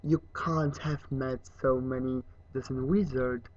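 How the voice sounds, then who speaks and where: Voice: medium pitch, fairly even intonation, slow pace, darkish tone. A reader voicing a polite, awkward young boy wizard in a storybook, with a hesitant, tactful manner. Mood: apologetic, kind. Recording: muffled, echoey room recording.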